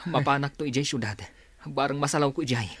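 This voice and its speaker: A young man with an Ilocano accent playing a loyal, reassuring helper in a radio drama, with a husky voice. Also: medium pitch, fast talker, fairly bright tone, no echo.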